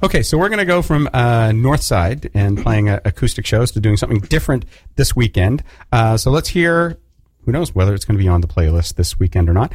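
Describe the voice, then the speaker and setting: A man hosting a casual radio interview, chatty and quick to laugh, with loose fillers as he talks. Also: fairly low pitch, very animated, a little mellow. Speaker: radio host. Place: radio studio.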